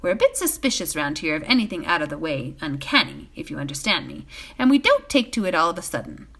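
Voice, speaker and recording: A woman's voice: low voice, very animated, fast, bright, forceful tone, breathy edge, full character voice. A woman voicing a fussy, puzzled male innkeeper in a storybook, chatty and folksy in manner. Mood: scolding, wary.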